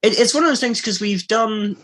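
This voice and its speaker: A man's voice: high-pitched, a little fast, fairly bright tone. A man chatting casually on a podcast as a voice actor, bantering and often repeating words as sentences form. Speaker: voice actor on a behind-the-scenes podcast.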